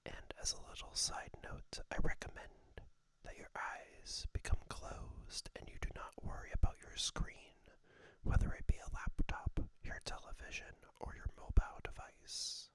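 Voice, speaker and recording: A person whispering a guided meditation and body scan for ASMR, soft and breathy, with gentle, soothing instructions. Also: measured pace. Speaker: ASMR host guiding a meditation.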